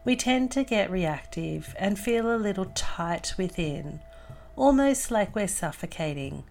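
A woman leading a guided meditation as host, calm and soothing, in deliberate phrases with long pauses. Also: mid-range voice, vocal fry, faint music behind.

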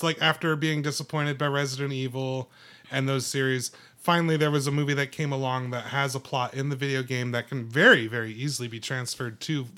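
A man's voice: medium pitch, bright, forceful tone. A man giving his opinions in a casual chat as a podcast panelist.

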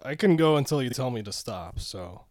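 A man with a mid-pitched voice talks casually among friends on a movie podcast. His manner is wry and joking, and the sound is close and dry, with no room around it. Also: animated, normal speed, a little mellow, dry recording. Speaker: co-host on a movie discussion podcast. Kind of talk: casual chat.

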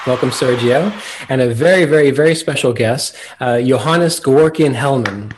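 A man's voice: medium pitch, normal speed, noisy background. A man hosting a podcast in a casual, hesitant and good-humoured way. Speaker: podcast host.

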